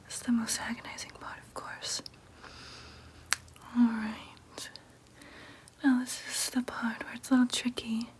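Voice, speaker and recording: Casting: woman to play gentle, businesslike female vampire nurse for ASMR roleplay; breathy whisper throughout, soothing clinical bedside manner, fairly high-set, level melody. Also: level tone, measured pace, pauses often, natural voice. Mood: soothing, coaxing. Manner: soothing, clinical, hesitant asides.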